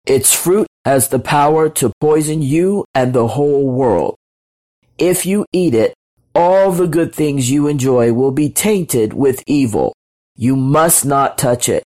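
A woman's voice: a bass voice, animated, takes it slowly, warm tone, exaggerated voice. A woman voicing a majestic creator God from a storybook, solemn and commanding. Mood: grave warning. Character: majestic God, a loving but stern creator.

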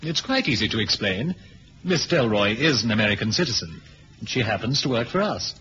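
Fussy British spymaster, lively pitch. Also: male voice, a little fast, soft background music. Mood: brisk. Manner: clipped, officious, headmasterly. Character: fussy, exasperated male British intelligence chief. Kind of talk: 1970s radio drama acting. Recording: dull lo-fi old radio recording, hiss.

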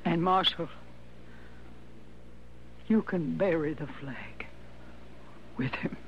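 A woman acting a proud, mournful older mother in a 1950s radio western. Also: low-pitched. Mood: grieving. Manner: slow, mournful. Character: grieving, proud old Southern mother. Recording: dull, noisy old radio recording.

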